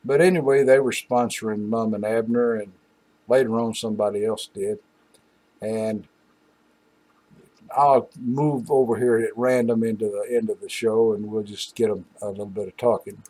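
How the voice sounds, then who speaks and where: Husky older man, folksy and rambling. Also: fairly low pitch, steady tone, warm tone, low-quality recording. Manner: folksy, halting, frequent asides. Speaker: host of an old-time radio show. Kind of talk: casual, rambling reminiscing commentary.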